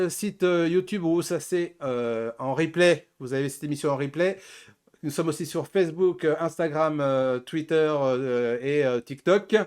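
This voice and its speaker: A man's voice: on the high side, smooth. A man hosting a talk show casually, joking and digressing with hesitant phrasing. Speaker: host. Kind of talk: casual show presenting with banter.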